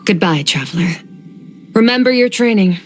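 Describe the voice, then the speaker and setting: An actress playing a solemn, aloof, centuries-old woman warrior and mentor in a video game, with measured, formal delivery. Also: medium pitch, animated, slight background noise, close to an everyday voice. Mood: composed, sincere. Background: music.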